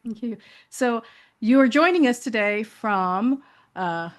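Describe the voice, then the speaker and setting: A woman's voice: a little high, expressive, takes it slowly. Chatty woman talk show host. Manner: warm-hearted, chatty, with many fillers. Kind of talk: interview hosting.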